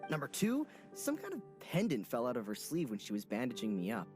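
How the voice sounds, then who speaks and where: An actor playing a gossipy, lovesick young soldier who rambles theatrically, over game music. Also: male voice, mid-range voice, wildly swooping pitch, fairly quick. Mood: dramatic.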